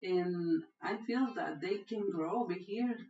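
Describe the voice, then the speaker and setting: A woman's voice: fairly low pitch, unhurried, warm tone. A middle-aged woman from Rica, speaking simple, broken English in an interview. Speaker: interviewee, mother. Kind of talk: documentary interview. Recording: roomy, distant, dull audio with music.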